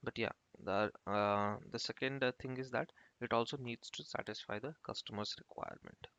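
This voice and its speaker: A man giving an informal software tutorial as an instructor, explaining step by step in a halting, repetitive way. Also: mid-range voice, clean tone, no room sound. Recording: muffled lo-fi screen-recording voice-over.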